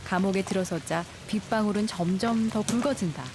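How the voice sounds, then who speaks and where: A woman reading scripted travel documentary narration in a calm, measured way, recorded as a studio voice-over. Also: mid-range voice. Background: music.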